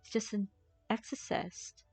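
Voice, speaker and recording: A woman's voice: mid-range voice, fairly even intonation, a little slow, creaky, darkish tone, husky, no room sound. Woman, game livestreamer, casual commentary, musing, playfully sceptical, dry close mic, faint background music.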